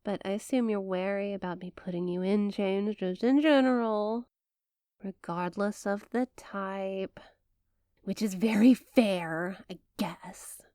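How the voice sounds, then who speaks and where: A woman's voice: mid-range voice, takes it slowly, warm tone, clean tone, no room sound, studio quality, lightly acted. A woman playing a rich, possessive, doting girlfriend in an intimate ASMR roleplay, sweet-talking one listener in a coaxing way. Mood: resigned, doting.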